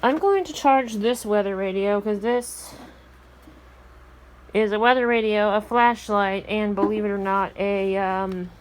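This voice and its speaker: A woman's voice: mid-range voice, fairly even intonation, unhurried, poor audio. A woman talking casually as she narrates an amateur weather vlog.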